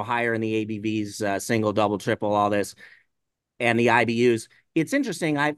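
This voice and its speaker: A man's voice: medium pitch, no room sound, studio quality. A man from the Pacific Northwest, a brewer talking shop in a casual podcast chat, rambling with lots of "you know" fillers. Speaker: brewer guest.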